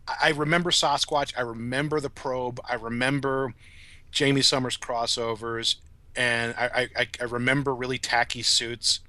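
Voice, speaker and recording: A middle-aged man with a bright, forward voice talks as a podcast guest. The sound is dry, with no room around it, and his delivery is casual and reflective. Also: mid-range voice, no echo.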